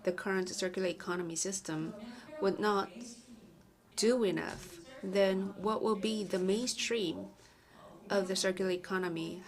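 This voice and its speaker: A woman giving a simultaneous interpretation of a conference presentation, measured and with small self-corrections. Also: mid-range voice.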